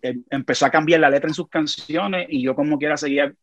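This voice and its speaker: A man with a Puerto Rican accent, a podcast guest telling animated stories in a casual conversation. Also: a little high, fast. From Puerto Rico. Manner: animated storyteller, frequent restarts.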